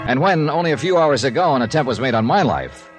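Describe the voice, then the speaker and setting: An actor in a 1950s radio drama playing a brisk, wry freelance insurance investigator who also narrates the story. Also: male voice, mid-range voice, expressive, music in the background, close to an everyday voice. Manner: brisk, dry, wry first-person narration.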